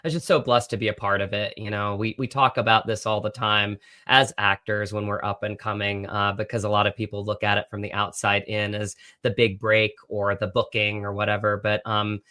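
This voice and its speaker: A man's voice: fairly low pitch, level tone, a little fast, hardly any pauses, pushed and bright. An American man speaking as an actor and interview guest, conversational and earnest with hesitant phrasing.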